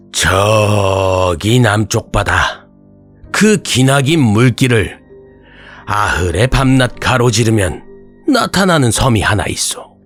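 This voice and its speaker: A man telling a scripted horror folktale as an old-fashioned raconteur, with dramatic pauses and archaic turns of phrase. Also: fairly low pitch. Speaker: horror radio storyteller.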